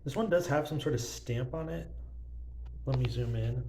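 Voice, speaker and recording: A man's voice: mid-range voice, normal speed, warm tone, a little hiss or hum. A young man casually showing his banknote collection to a phone camera, rambling with lots of fillers. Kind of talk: casual show-and-tell narration. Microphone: phone filming banknotes.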